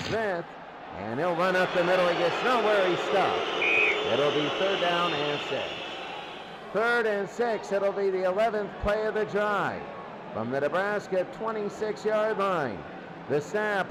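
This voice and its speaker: High-voiced male football play-by-play announcer, noisy recording. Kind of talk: live American football play-by-play commentary.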